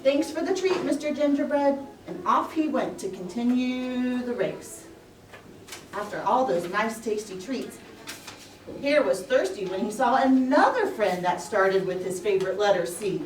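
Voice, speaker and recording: A woman's voice: medium pitch, lively intonation, unhurried. A woman telling a story to young children, expressively and in simple phrases. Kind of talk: live children's story narration. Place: room with a pre-school class. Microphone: distant recording mic. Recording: echoey room, distant mic, faint noise.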